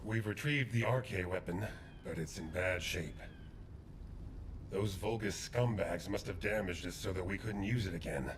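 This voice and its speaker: An actor playing a steady, earnest male soldier ally in a video game, speaking in a calm, measured way. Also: low voice, a little hiss or hum, natural voice.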